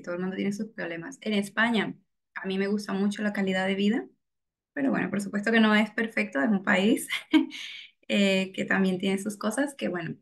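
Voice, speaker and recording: A young woman with a Venezuelan accent speaks as a podcast guest, reflecting openly and filling pauses with "bueno" and soft laughs. Her voice is middle-pitched and even. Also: creaky. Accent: Venezuelan Spanish. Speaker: guest, data scientist. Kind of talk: casual interview.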